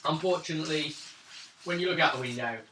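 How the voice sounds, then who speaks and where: A man running a tabletop roleplaying game, describing scenes and often clearing his throat. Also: a little high, quick pace, bright, forceful tone, slight background noise. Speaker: game master. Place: home room around a gaming table. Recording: echoey room from far off mic.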